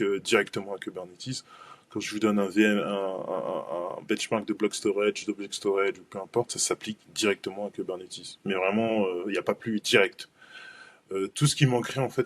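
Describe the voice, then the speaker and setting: A man talks shop in a loose, chatty webinar style, piling up fillers and restarting sentences. His voice sits on the low side. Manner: rambling, informal, many fillers and restarts. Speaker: cloud benchmark analyst presenting a webinar. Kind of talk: casual webinar talk.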